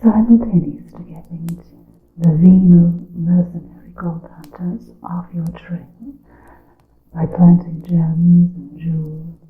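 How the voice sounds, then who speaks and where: A woman playing a secretive, solemn witch in an ASMR roleplay, whispering slowly in archaic formal words, with a creaky breathy voice. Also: lowish voice, fairly even intonation. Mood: conspiratorial, solemn. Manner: slow, deliberate, archaic formal wording. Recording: muffled narrow-band sound with room echo.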